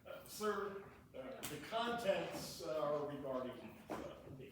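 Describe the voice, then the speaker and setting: Man playing a high-voiced cheeky country clown. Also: lively intonation. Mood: evasive, cheeky. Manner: halting, stammering, quibbling. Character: simple, cheeky male country clown, evasive and pedantic. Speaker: amateur stage actor. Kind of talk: Shakespearean comedy staged reading. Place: echoey room, distant mic at live stage reading. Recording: echoey hall from far off, faint noise.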